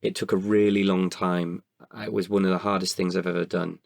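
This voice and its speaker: A man with a very low voice full of gravelly creak. He speaks as an interview guest, candid and hesitant, feeling his way through each answer and doubling back on words. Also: flat delivery, dry recording, clean studio sound. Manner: hesitant, halting delivery with repeated words. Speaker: podcast guest, writer and performer.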